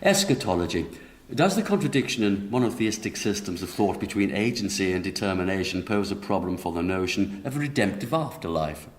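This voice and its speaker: An actor playing a brusque, officious examiner in a radio drama, firing questions in a clipped, deadpan, formal way. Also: male voice, low-pitched, a little fast. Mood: brisk, officious. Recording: roomy, echoing radio drama sound.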